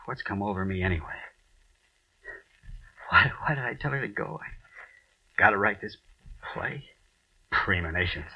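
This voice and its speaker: An actor playing a troubled radio playwright who works late at night, agitated and halting as he mutters to himself in old-time radio drama style. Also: fairly low pitch, lively intonation, vocal fry, husky, no room sound, heavily acted. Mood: uneasy, brooding. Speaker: actor in a radio horror play. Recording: dull old radio recording, off mic.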